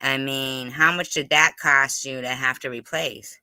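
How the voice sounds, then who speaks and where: An interviewer with a very sharp, forceful and clean-sounding voice of middling pitch. The delivery is blunt and pressing, with casual swearing, and the sound is close and dry. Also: fairly even intonation, a little slow, smooth, no echo.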